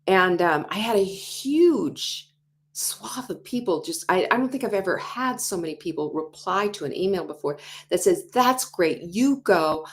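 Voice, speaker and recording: A woman in her sixties talks straight to her listeners as the host of a motivational show. Her voice sits a little low, and she brings her points to life by acting out people's doubts in their own voices. Now and then she drops to a whisper for emphasis. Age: 60s. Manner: animated, acts out quoted inner voices, drops to whispers. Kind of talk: motivational talk show monologue.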